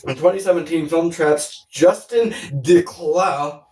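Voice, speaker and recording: A man's voice: fairly high pitch, unhurried, darkish tone. A man co-hosting a comedic film podcast, chatting casually in a giggly, slangy way with rambling riffs. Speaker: podcast co-host. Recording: roomy echo, distant from mic.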